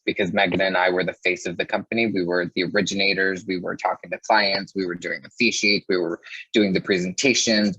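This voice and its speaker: A man's voice: low-pitched, fairly bright tone, breathy edge, no echo, muffled recording. A man who is an interview guest from the mortgage business, telling stories in a casual, chatty way and often saying "like". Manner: chatty, peppers speech with "like". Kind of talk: casual conversational storytelling.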